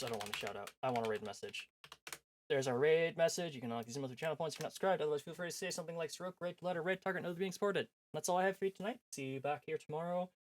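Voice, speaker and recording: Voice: medium pitch, slight background noise. A sleepy livestream host chatting casually to viewers, in the manner of a stream sign-off. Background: background music.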